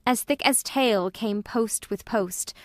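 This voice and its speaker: A woman's voice: on the high side, a little slow, dry recording, studio quality, natural voice. A woman playing a formal, admiring noble messenger of the king in a dramatic Shakespeare reading.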